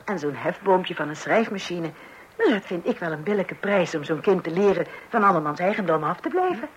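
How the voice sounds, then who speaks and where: A woman's voice: on the low side, animated, fairly quick, breathy edge, dull audio. An actress playing a long-suffering wife and mother in a Dutch radio play, hesitant and placating. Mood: soothing. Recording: hissy old radio recording.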